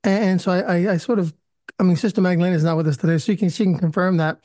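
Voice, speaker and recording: A man's voice: high-pitched, steady tone, racing, darkish tone, dry recording. A man hosts a live stream, opening with prayer before sliding into easy, rambling small talk. He is self-deprecating and friendly. The sound is dry and close, with no room around him. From US Northeast. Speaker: host. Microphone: video call.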